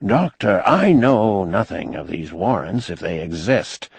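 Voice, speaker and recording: Guy playing a careful formal politician in an audiobook, calm authority, restrained, slow, low voice. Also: creaky, slightly rough, no room sound, full character voice. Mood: formal, reassuring. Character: measured, guarded male government secretary, calm authority. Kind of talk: audiobook character acting.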